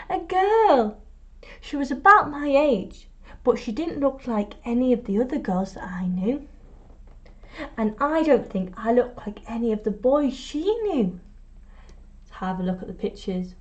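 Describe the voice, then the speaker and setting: A woman reads a children's picture book in a fairly high voice. She takes her time, leaving deliberate pauses and stressing key words like a teacher at storytime. The recording sounds a little dull and lo-fi. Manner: prompts young listeners with questions. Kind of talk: storytime read-aloud.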